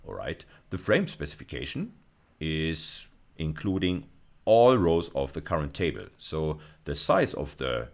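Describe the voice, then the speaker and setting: A man with an exceptionally deep voice delivers an instructional lecture. He is deliberate and emphatic, often repeating a phrase or rewording it as he explains. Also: sing-song, vocal fry. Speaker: university lecturer.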